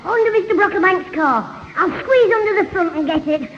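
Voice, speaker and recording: Bossy cheeky northern english lad, really high voice, old radio comedy, echoey and hissy. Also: brisk. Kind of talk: 1970s radio sitcom acting. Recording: dull, echoey, noisy old radio broadcast.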